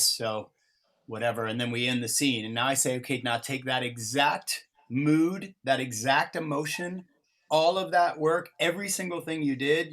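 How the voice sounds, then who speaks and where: Guy running a workshop, chatting and explaining stuff to the group, medium pitch. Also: fairly bright tone. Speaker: workshop facilitator.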